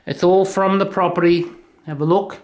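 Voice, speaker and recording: A man's voice: high-pitched, fairly even intonation, normal speed, muffled recording. An older Australian man, an auctioneer, enthusiastically rambling about items while walking through a house and filming. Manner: enthusiastic, rambling, self-joking. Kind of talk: casual walkthrough chat. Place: large house, indoors. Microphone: handheld phone camera. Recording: echoey rooms, faint noise.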